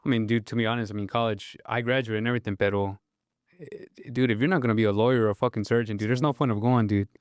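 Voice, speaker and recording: A man's voice: on the low side, fairly even intonation, fast, smooth, dry recording, studio quality. A young man, interviewing casually in blunt, slangy English mixed with Spanish. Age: young adult. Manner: slangy, blunt, frequent "bro" and "dude". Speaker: podcast host, interviewer.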